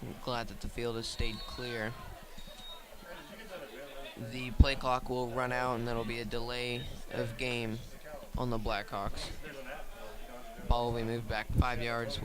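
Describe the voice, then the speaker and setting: Flat-toned man calling football play-by-play. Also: medium pitch, slow pace, a crowd in the background. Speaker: play-by-play commentator. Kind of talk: live radio sports commentary. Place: outdoors at a high school football field.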